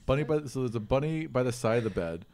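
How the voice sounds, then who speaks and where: A man's voice: medium pitch, animated, quick pace, darkish tone. A man narrating an improvised tabletop game as game master, in a playful way.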